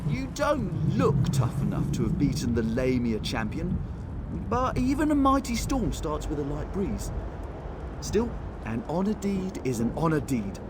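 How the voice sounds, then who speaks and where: A man voicing a sceptical but helpful wood elf in a video game, folksy and expressive. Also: fairly high pitch, measured pace, very noisy. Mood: sceptical, advising.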